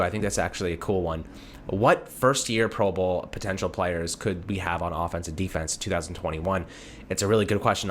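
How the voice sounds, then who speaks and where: A man's voice: low voice, a bit bright, a little hiss or hum. A man hosting a sports show, giving casual fan commentary in an informal, conversational way, talking to callers like friends.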